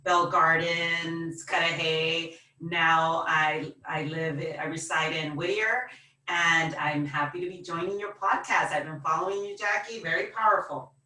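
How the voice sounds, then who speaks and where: A woman's voice: low-pitched, fairly even intonation, unhurried, sharp tone. A woman from Los Angeles introducing herself casually as a podcast guest. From Los Angeles, United States. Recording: echoey room, distant mic.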